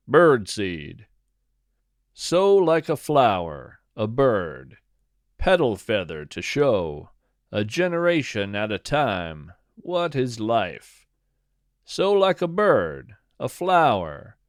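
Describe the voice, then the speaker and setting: Slow, expressive man narrating a story. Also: medium pitch, no room sound, clean studio sound. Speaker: podcast host and narrator. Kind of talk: scripted first-person storytelling narration.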